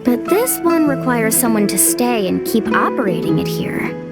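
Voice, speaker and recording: A confident young female adventurer in a video game. Her voice is high and lively, swinging freely in pitch as she encourages her companions in an easy, assured way. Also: normal speed, loud music behind the voice. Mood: focused, practical. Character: upbeat, confident young woman adventurer guiding her friends. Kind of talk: animated video game voice acting.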